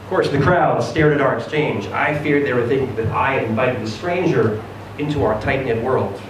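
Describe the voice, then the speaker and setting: A man's voice: mid-range voice, moderate pace. Man reading a story in a very echoey room. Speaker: reader of a short story at a live event. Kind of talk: dramatic first-person story reading. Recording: very echoey room, distant mic, noisy.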